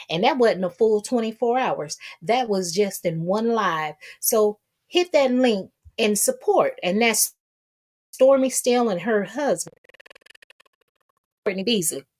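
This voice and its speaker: Sassy American woman, casual gossip host. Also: fairly high pitch. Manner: sassy, colloquial, repeats herself for emphasis. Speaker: commentary vlog host.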